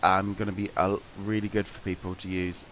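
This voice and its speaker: A man's voice: low-pitched, little pitch movement, fairly quick, a bit bright. A man co-hosting a webcast, chatting casually and offering his opinions. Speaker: webcast co-host. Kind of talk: casual chat, giving opinions. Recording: hissy, noisy online webcast audio.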